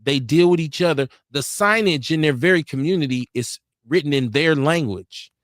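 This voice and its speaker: A man's voice: a little high, lively intonation, normal speed, no room sound, clean studio sound. A middle-aged American man giving opinions in casual discussion, emphatic and repeating phrases to drive points home. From United States.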